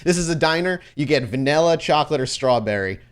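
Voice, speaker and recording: A man's voice: fairly high pitch, expressive, fast talker, smooth. A man running a tabletop role-playing game, narrating and improvising in a loose, conversational, amused way. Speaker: game master.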